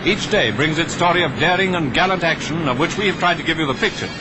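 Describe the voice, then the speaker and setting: A man's voice: fairly high pitch, quick pace, background noise. A man with a British RP accent delivering clipped, declamatory wartime newsreel commentary on an old 1940s soundtrack. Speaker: newsreel narrator.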